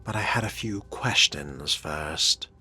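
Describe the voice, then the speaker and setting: A man's voice: rumbling low, takes it slowly, bright, forceful tone, slightly rough. A man role-playing his game character's dialogue in a terse, formal manner.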